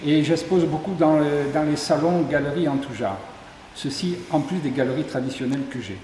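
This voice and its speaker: A man's voice: fairly high pitch, fairly quick, darkish tone, a little hiss or hum. A man from southern Ardèche, a sculptor, describing his works at length with small hesitations in an interview at an exhibition. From southern Ardèche, France. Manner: descriptive, lists details with hesitations. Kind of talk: interview, explaining his artworks. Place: tourist office exhibition hall. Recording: echoey hall with faint ambience.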